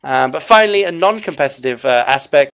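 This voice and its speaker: A man's voice: a little high, animated, brisk, creaky, fairly bright tone, smooth. Upbeat male esports caster, chatty. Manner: chatty, upbeat, frequent fillers. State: losing his voice. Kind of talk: live esports commentary and sign-off.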